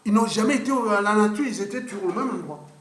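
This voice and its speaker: A man's voice: high-pitched, fast talker, fairly bright tone, slight background noise. A man, giving an unscripted political monologue that rambles, repeats itself and presses its points hard. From Republic of the Congo. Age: 50s. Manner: rambling, repetitive, emphatic with restarts. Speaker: political commentator. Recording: roomy echoey room.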